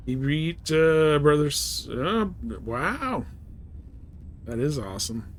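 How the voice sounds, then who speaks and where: A man's voice: a little high, very animated, slow. A man chatting casually and in a rambling, laid-back way as he streams a game. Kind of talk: casual chat while playing.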